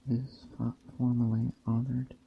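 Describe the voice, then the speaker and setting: A man speaking softly and unhurriedly with long pauses, in an ASMR roleplay as a gentle, hospitable witch. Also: mid-range voice, level tone, creaky, dull audio, slight background noise. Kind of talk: soft-spoken ASMR roleplay narration. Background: rain and forest ambience.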